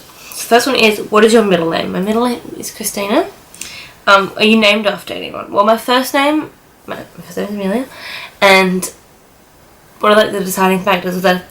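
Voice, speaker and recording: A woman's voice: medium pitch, fairly bright tone, a little hiss or hum. A young woman from New Zealand and Australia chatting casually to her vlog viewers, rambling and often correcting herself with plenty of filler "like". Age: young adult. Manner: rambling, frequent "like" and self-corrections. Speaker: vlogger. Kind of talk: casual sit-down vlog chat.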